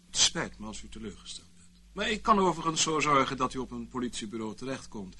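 An actor playing a genial, hesitant civil servant with mock courtesy and quiet menace, in a Dutch radio play. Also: male voice, medium pitch, expressive, fast, slightly rough, full character voice. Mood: softly menacing. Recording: noisy old radio play recording, clicks.

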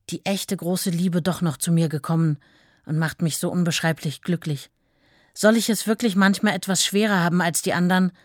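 An actress playing a tender, adoring fiancée in a radio play, reading love letters aloud in an earnest, simple way. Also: female voice, fairly low pitch, dry recording, clean studio sound, lightly acted.

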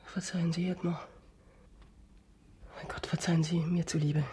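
A woman's voice: lowish voice, little pitch movement, normal speed, mellow, a little hiss or hum, lightly acted. An actress in a German radio drama playing an anguished noblewoman, earnestly imploring as a desperate mother to a man she once loved. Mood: desperate pleading.

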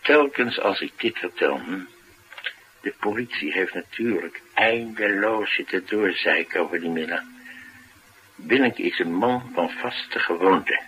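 An actor playing a streetwise, talkative driver, confiding and musing in a colloquial radio drama style. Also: male voice, sits low, expressive, a little slow, pushed and bright, heavily acted. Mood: brooding, puzzled. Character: streetwise, talkative male driver, wry and brooding. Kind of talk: naturalistic radio drama acting. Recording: noisy radio drama recording.

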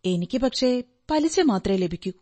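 A woman's voice: mid-range voice, animated, normal speed, warm tone, natural voice. A woman playing an earnest, talkative, polite client who explains her troubles in detail in a radio drama. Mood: candid, explaining. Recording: radio drama with music behind. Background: music.

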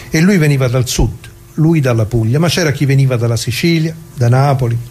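Male actor as elderly labourer, soft dark timbre, colloquial and halting, dramatic monologue over noticeable background noise. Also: medium pitch, lightly acted. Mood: fond, reminiscing. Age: older. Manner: colloquial, halting, directly addressing listeners. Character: elderly working-class man from Brescia, plain-spoken bombing survivor.

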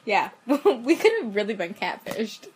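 A woman's voice: medium pitch, lively intonation, measured pace, low-quality recording. Laid-back young woman with faint hiss. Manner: laid-back, self-deprecating, laughs often. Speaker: podcast co-host. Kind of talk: casual chat. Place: bedroom at home.

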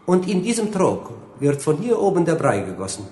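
An actor playing a smug young general-dictator in a German radio drama, gushing with oily familiarity and rambling on with jovial menace. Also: male voice, a little high, big pitch swings, moderate pace, mellow. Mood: smug, proud. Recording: roomy, echoing studio scene.

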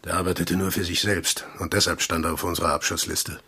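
An actor playing a gruff, weary, commanding intelligence chief in a German radio drama, terse and deliberate, with a husky, gravelly voice. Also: male voice, deep voice, flat delivery, sharp tone, heavily acted. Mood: grimly matter-of-fact.

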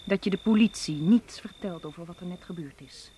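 A woman's voice: on the low side, a little fast, lightly acted. An actress playing an anxious, impulsive young woman in a radio drama, speaking in an earnest, defensive way. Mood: grateful, evasive. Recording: clear noise, outdoor night insect ambience. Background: chirping crickets.

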